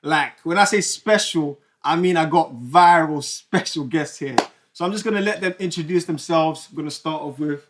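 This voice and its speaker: A man's voice: fairly high pitch, moderate pace. Casting: young guy, podcast host, laid-back and laughing, faint noise behind. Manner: relaxed, chatty, laughs easily. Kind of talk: casual chat.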